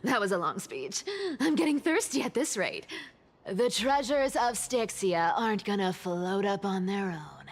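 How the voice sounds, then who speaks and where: A woman's voice: medium pitch, lively intonation, unhurried. A woman playing a mischievous, carefree young demigod treasure hunter in a video game, with a teasing, theatrical manner. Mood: teasing, breezy. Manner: teasing, theatrical, laughs between phrases. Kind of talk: video game character acting. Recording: long hall-like reverb.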